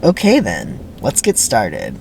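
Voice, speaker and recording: Male camp counselor acted out by a script reader: falsely friendly, emphatic, highly animated, faint background noise. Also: slow, creaky, full character voice. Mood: curt. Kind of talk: dramatic script reading.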